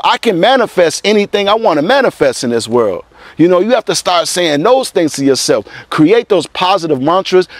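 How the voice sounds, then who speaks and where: A middle-aged man delivers a preachy motivational talk outdoors, his voice sitting a little high for a man. The lapel mic picks him up close and dry, with no room sound around him. He keeps repeating himself and calling his listeners "people". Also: dry recording. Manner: preachy, repetitive, addresses viewers as "people". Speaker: motivational vlogger. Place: outdoors in front of evergreen trees. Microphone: clip-on lapel mic.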